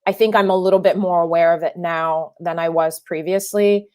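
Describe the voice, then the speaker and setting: A woman's voice: fairly low pitch, fairly even intonation, moderate pace, darkish tone, clean tone. A young adult woman filmmaker whose first language is French, answering interview questions thoughtfully, with many restarts and fillers. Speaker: filmmaker guest.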